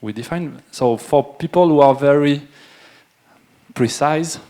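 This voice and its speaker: A middle-aged French man with a French accent, presenting at a wine seminar in a halting way, with many fillers and restarts. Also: on the high side, warm tone. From France. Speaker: winemaker. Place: event room with a live audience.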